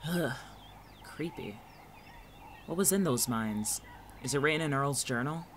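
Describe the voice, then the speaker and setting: A male actor plays a guarded and watchful man in an audio drama. He talks in a dry, exasperated, conversational way at a middling pitch. Noticeable ambience sits behind his voice. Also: expressive, a little slow, a bit bright, a little hiss or hum. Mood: curious, unimpressed. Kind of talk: naturalistic audio drama dialogue.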